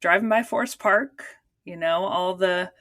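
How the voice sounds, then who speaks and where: Lower-voiced playful woman cohost. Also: a little slow, a bit bright, no room sound, studio quality. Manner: playful, laughing, emphatic asides. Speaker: podcast cohost, religious sister. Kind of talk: casual chat.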